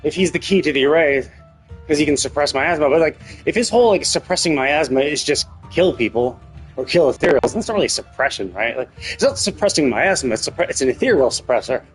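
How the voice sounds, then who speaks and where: A man's voice: a little high, expressive, a little fast, poor audio. A man giving casual, speculative live game commentary as a streamer, often checking himself with "right?". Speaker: game livestreamer. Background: game music.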